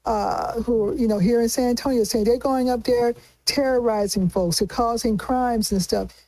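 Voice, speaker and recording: A woman's voice: a little high, fairly even intonation, normal speed, mellow, no room sound, low-quality recording. A woman hosting a casual news discussion in a conversational way. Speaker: host.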